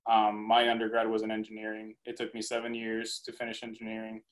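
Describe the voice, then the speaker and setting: Even-toned, halting young man from Colombia. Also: lowish voice, low-quality recording. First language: Spanish. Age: young adult. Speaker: veterinary student, podcast guest. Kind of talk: casual panel talk.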